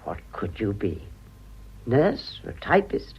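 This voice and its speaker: Older woman, husky, rueful, worldly, pleading, hesitant, radio drama, muffled audio. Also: rumbling low, sing-song, slow, full character voice. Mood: bitter, earnest.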